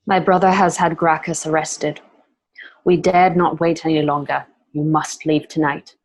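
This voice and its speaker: A woman's voice: low voice, little pitch movement, measured pace, rough recording. A woman acting an anxious, weary Roman princess, earnest and guarded, in an amateur radio drama. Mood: urgent, secretive.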